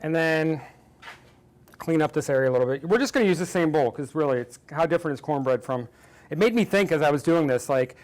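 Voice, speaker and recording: A man's voice: fairly high pitch, lively intonation, fairly quick, a little hiss or hum. A man in his forties hosting a baking show, chatting and teasing his guest as he bakes. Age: 40s. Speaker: cooking show host. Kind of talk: casual chat while baking.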